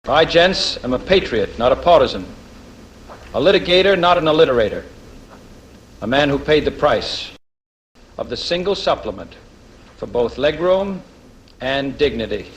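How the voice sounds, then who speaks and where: A man's voice: mid-range voice, lively intonation, moderate pace, pauses often, natural voice. A man playing a pompous, aggrieved American litigator on holiday, speechifying with grand indignation in a comic radio play. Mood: indignant oratory. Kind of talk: comedic radio play oratory. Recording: noisy ambient background.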